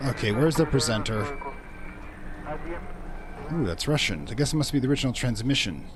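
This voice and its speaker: A man's voice: medium pitch, animated, a little fast, noisy background. A man giving wry, exclamatory commentary while he plays a video game, muttering and reacting as he goes. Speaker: let's play gamer. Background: loud game music and sound.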